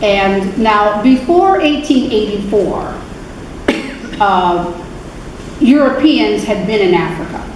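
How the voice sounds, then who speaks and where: A woman giving an informal history talk to an adult church class, unhurried, with many fillers and self-corrections. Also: mid-range voice, lively intonation. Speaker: guest speaker at adult faith class. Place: church meeting room. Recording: very echoey, distant, noisy room recording.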